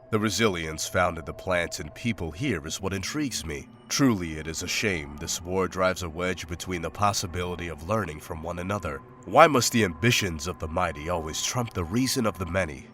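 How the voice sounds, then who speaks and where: A man's voice: low-pitched, a bit bright. A man playing a stoic, scholarly monster hunter in a visual novel, speaking in a formal, composed, literary way. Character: stoic, scholarly male monster hunter, calm and matter-of-fact. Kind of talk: scripted visual novel drama and journal narration.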